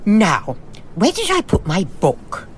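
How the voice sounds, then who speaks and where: A woman's voice: medium pitch, wildly swooping pitch, slow pace, noisy background. A woman playing a fussy, faint-hearted Edwardian mother in an audio drama, with a genteel English accent and a fretful, theatrical delivery.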